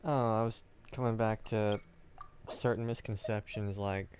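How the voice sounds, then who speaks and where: Low-voiced man chatting over noisy gameplay. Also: steady tone, a little slow, darkish tone. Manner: rambling, offhand, hesitant. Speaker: video game streamer. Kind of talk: casual gameplay chat.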